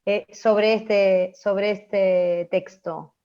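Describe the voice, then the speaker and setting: A woman with an Argentine accent teaching an open class, explaining off the cuff and often pausing to check that listeners follow. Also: medium pitch, steady tone, warm tone. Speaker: radio drama teacher. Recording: dull, muffled audio.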